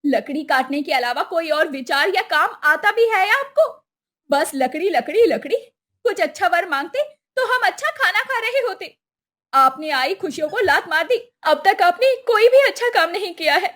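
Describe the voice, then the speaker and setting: A woman's voice: very high voice, lively intonation, normal speed, a bit bright. A woman voicing a poor, fed-up wife in a cartoon story, complaining and scolding plaintively. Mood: scolding, exasperated. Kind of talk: Hindi cartoon story voice acting.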